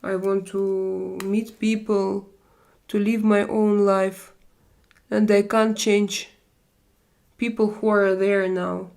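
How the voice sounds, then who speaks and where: A woman from Russia, hosting a casual live stream chat and searching for her words in halting English. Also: mid-range voice, level tone, creaky, darkish tone.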